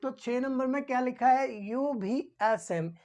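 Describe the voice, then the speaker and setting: Woman, high voice, informal instructor, repetitive explanations, question-and-answer teaching. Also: unhurried, a little mellow.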